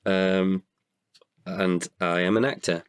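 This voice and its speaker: A man, an actor, talking reflectively about himself in an interview, haltingly and with pauses mid-sentence. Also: deep voice, little pitch movement, smooth. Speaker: actor and guest writer. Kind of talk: reflective personal interview talk.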